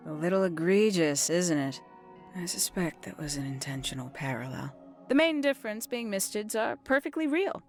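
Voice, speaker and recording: An actress playing an enigmatic, brooding woman in a video game, telling her story in a measured, theatrical way with dramatic pauses. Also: lowish voice, very animated. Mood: wry, knowing. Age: adult, older than nineteen. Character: enigmatic, brooding woman recounting a secret family past. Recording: background music under the dialogue.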